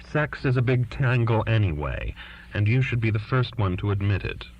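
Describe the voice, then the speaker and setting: An earnest twenty-year-old daughter as a male audiobook reader voices her pleads her case to her father, defiant and insistent. The voice is low-pitched, and the recording is muffled and lo-fi. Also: expressive, normal speed, slight background noise. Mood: appealing. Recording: dull lo-fi recording.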